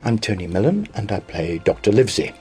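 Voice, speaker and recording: A man's voice: on the low side, very animated, brisk, mellow, faint music behind. A man, an actor, talking reflectively and expressively about his roles in an interview.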